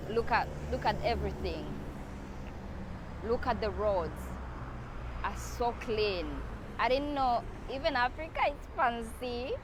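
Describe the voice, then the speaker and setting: A woman filming a travel vlog outdoors in a city, awed and exclaiming, often halting mid-sentence. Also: on the high side, background noise.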